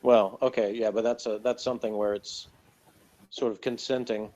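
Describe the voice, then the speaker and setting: Dull-sounding man in halting casual debate. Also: fairly low pitch, level tone, dark tone. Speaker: podcast co-host reviewing TV episodes. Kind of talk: casual discussion. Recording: dull lo-fi audio.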